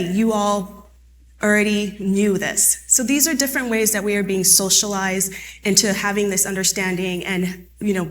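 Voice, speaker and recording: A woman's voice: mid-range voice, a bit bright, slight background noise. A woman in her thirties whose first languages are French and Haitian Creole, presenting a conference training session and often asking the audience questions. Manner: conversational, asks the audience questions. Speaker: workshop presenter.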